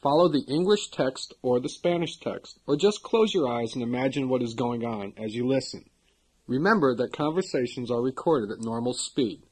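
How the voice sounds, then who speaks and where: Husky-voiced male course narrator. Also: mid-range voice, expressive. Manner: measured, formal instructor delivery. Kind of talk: scripted instructional narration.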